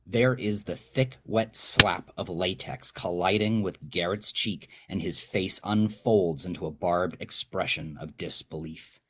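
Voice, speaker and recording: Very deep, husky man narrating. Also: a little slow, hardly any pauses, bright, forceful tone. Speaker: horror story narrator and host. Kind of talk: dramatic scripted narration of dark fiction.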